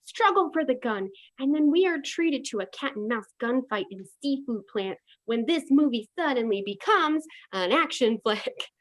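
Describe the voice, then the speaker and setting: A woman's voice: high voice, animated, moderate pace, few pauses. A woman narrating a comedic radio play with mock drama and sass.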